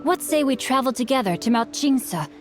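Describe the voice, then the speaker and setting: A woman's voice: sits high, expressive, normal speed. A woman voicing a polite, bookish young boy in a video game, speaking in formal, flowery phrases. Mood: courteous, eager. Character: courteous, bookish young boy, eloquent martial-arts novel enthusiast. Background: music.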